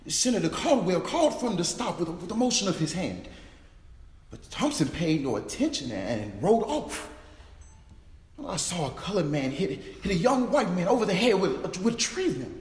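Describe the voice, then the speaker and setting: A man acting as a plainspoken father in a dramatic reading, recalling what he witnessed in a halting manner. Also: a little high. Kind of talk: dramatic reading of historical testimony. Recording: echoey hall.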